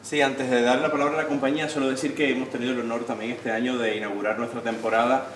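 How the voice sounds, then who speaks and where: A man's voice: medium pitch, level tone, quick pace. A man moderating a public theater discussion, speaking off the cuff as he links comments and passes the floor. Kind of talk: spontaneous moderating of a public talk. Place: indoor theater venue during a public discussion. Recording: echoey room, distant mic, clear noise.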